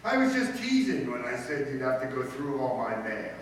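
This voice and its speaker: A man's voice: medium pitch, very animated, normal speed, natural voice. Man playing a pompous school principal, echoey. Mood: fawning. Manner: pompous, formal, hemming. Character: pompous, blustering middle-aged male high school principal. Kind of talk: old-time radio comedy acting. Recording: very echoey, distant mic, faint noise.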